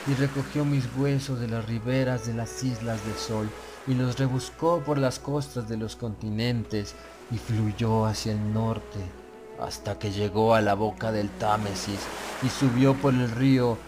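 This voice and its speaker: A man reads a gothic horror audiobook in a solemn, literary first-person style. His delivery is measured and deliberate. Prominent music plays underneath the whole reading. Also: mid-range voice, steady tone. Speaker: audiobook narrator.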